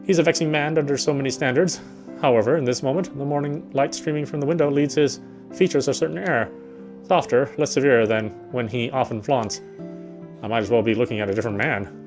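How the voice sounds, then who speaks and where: A man's voice: medium pitch, fast talker. A man reading a visual novel's narration aloud, now and then stumbling over a phrase and rereading it. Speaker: game player reading aloud. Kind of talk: scripted narration read aloud. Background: soft guitar game music.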